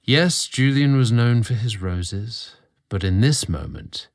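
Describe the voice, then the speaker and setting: A man's voice: mid-range voice, animated, slow, no room sound, studio quality. A man narrating a scripted romantic story as host, with a theatrical, sensual delivery.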